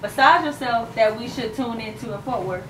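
A woman's voice: fairly high pitch, moderate pace. A young woman hosting a casual interview, upbeat and chatty with slang. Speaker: host. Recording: roomy, distant, faint hiss.

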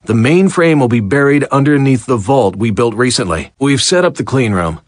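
A man's voice: mid-range voice, expressive, normal speed, no room sound, studio quality, lightly acted. A man playing a matter-of-fact facility worker recording journal entries for a video game. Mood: serious.

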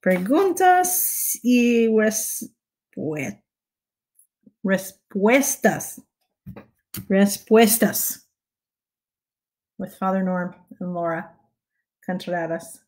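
Slow-talking raspy Texan woman host. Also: mid-range voice. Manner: relaxed, clears throat often, chuckles softly. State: raspy voice from little sleep. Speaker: prayer livestream host. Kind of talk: casual chat greeting viewers. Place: home study with bookshelves. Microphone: clip-on lapel mic, webcam.

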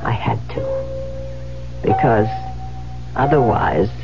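Low-voiced, guilty, murderous wife. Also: female voice, animated, very slow, vocal fry, loud music behind the voice, full character voice. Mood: dark, confessional. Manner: theatrical, dramatic delivery. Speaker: radio drama actress. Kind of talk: 1970s radio drama acting. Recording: muffled old radio broadcast.

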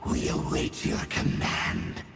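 An actor voicing a monstrous, deferential herald of a dark prince in a video game, gravelly and formal. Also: male voice, rumbling low, little pitch movement, full character voice. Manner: slow, reverent, formal. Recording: music clearly underneath.

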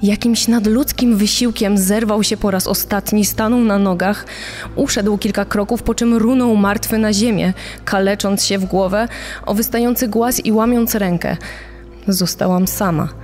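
A woman's voice: mid-range voice, steady tone, normal speed, a little mellow, faint music behind, lightly acted. Woman acting a grave, distressed wife and mother. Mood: grieving, grave. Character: bereaved wife and mother recounting a mountain tragedy. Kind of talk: dramatized true-crime podcast acting.

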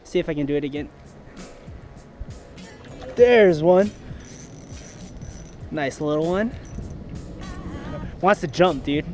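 A man's voice: on the high side, lively intonation, slow, long pauses, darkish tone, faint music behind. A young man vlogging while fishing from the rocks of a river, explaining his gear and whooping when he lands a fish, recorded on a head-mounted camera. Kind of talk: casual outdoor fishing vlog. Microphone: head-mounted action camera.

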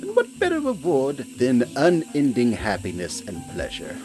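A man's voice: medium pitch, huge pitch swings, moderate pace, darkish tone. A man voicing a smug male hyena mad scientist who talks in a silky, condescending, theatrical way, with frequent chuckles. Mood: gloating. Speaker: villainous scientist narrating to the listener. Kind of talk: hobby audio play. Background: background music.

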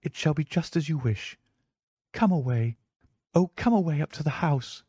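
A man's voice: on the high side, expressive, normal speed, mellow, breathy edge, no echo. A man voicing a frightened, secretive wife in an audiobook, pleading in an urgent, emotional manner. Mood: relieved. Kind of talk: audiobook character dialogue.

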